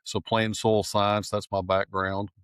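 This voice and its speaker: Low-voiced East Texas man. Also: flat delivery, measured pace, a bit bright, clean tone, no room sound. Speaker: podcast guest, nursery manager. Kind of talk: casual interview.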